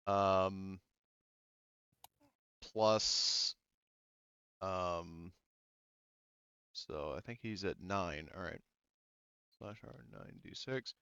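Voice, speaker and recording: A man running a tabletop game as game master, pausing often with ums while he counts dice and tracks the turn. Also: low voice, creaky, pushed and bright, clean tone, no room sound, highs cut off. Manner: halting, many ums, counts dice aloud.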